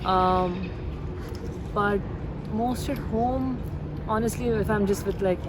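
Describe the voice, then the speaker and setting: A woman's voice: medium pitch, slow pace, heavy background noise. A woman, a university student answering interview questions casually, with plenty of "like" and "um". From India. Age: 20s. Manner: chatty, loose, many "like" and "um" fillers.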